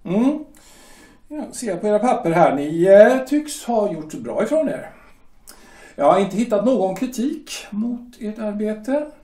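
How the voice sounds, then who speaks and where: A man acts out a polished shipyard director in an audio drama, with a fairly high, lively voice. He speaks measured and slow, with hesitant pauses that hint at a threat. The voice sounds set back from the mic in an echoey room. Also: recorded from a distance. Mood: cool, probing. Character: smooth, veiled-threatening male shipyard director. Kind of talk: amateur audio drama acting.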